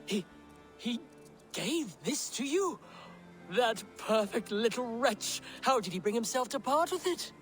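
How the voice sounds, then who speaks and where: An actress playing an emotional, refined, easily moved woman in a video game, exclaiming and halting. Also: a little high, sing-song, faint music behind. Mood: astonished, grateful.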